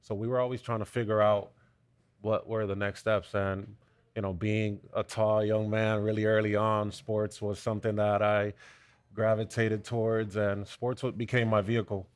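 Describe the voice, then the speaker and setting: A young man from Cuba speaking as a panel guest, earnest and halting, with frequent fillers and restarts. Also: on the low side, level tone, smooth, dry recording. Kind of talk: panel discussion, conversational.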